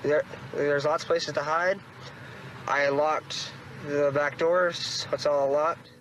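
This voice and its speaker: A man talking in a flat, matter-of-fact way on a home video clip. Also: a little high, slow pace, a little hiss or hum, poor audio.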